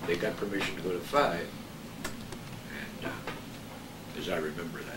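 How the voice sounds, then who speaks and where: An older man, a guest in a casual chat, recalling things haltingly and hesitantly. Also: low-pitched. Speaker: guest, former radio broadcaster. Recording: echoey, distant mic, clear background noise.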